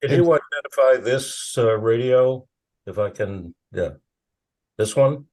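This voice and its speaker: A man in a casual meeting discussion, speaking haltingly with pauses mid-thought as a participant. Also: medium pitch, a little mellow, poor audio. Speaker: meeting participant asking questions.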